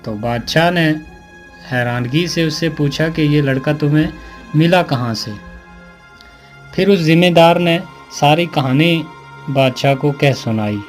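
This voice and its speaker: A man's voice: on the high side, unhurried. A man narrating a scripted moral tale as a storyteller. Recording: music behind the voice.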